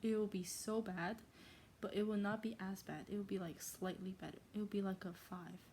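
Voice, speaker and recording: A woman's voice: mid-range voice, steady tone, brisk, a little mellow, a little hiss or hum. A woman reading a novel aloud for her channel, breaking off for chatty, self-mocking asides full of "like".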